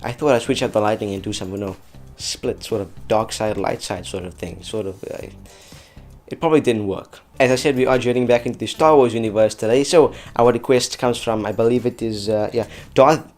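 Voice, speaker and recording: A man's voice: fairly low pitch, a little fast, darkish tone, soft background music. A man hosting an audio effects tutorial in a casual, chatty, jokey way, talking informally to viewers. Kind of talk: casual scripted tutorial narration.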